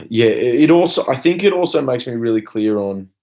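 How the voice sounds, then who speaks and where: A man's voice: medium pitch, animated, moderate pace, darkish tone. A young Australian man speaking off the cuff in a personal video rant, blunt and emphatic, with casual swearing and trailing asides. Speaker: vlogger. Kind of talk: unscripted personal rant to camera.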